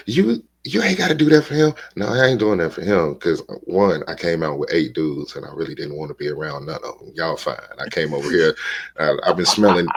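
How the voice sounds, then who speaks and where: A man in his forties hosting a casual podcast chat, laid-back and streetwise, acting out stories with lively voices. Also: deep voice, husky. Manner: animated storyteller, acts out bar scenes. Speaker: podcast host.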